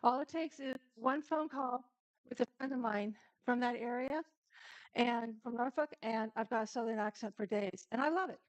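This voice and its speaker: Chatty higher-voiced woman, dry sound. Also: normal speed, dry recording, poor audio. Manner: chatty, talks straight to viewers, laughs easily. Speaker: cooking stream host. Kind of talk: casual live-stream cooking demo.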